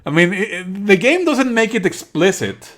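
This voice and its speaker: A man's voice: high voice, normal speed. Exasperated, sarcastic man streaming. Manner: exasperated, sarcastic, animated outbursts; frequent swearing. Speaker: streamer playing and commenting on a visual novel. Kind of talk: live let's play commentary, reading game text aloud.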